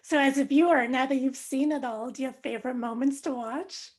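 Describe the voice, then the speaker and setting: A woman's voice: high-pitched, brisk. A woman, asking casual questions as an entertainment interviewer.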